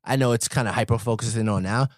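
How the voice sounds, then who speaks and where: A man talks quickly and earnestly on a casual movie podcast, often chiming in with agreement. His voice sits mid-range. The recording is close and dry. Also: mid-range voice, no room sound. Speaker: podcast co-host. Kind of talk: casual film discussion.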